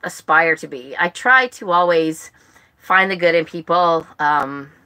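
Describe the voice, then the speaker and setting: Bright-voiced, slightly low-pitched woman chatting casually. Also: unhurried, smooth. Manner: rambling, self-interrupting, chatty. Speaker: book club host and livestream presenter. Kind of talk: casual livestream chat to viewers. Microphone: phone.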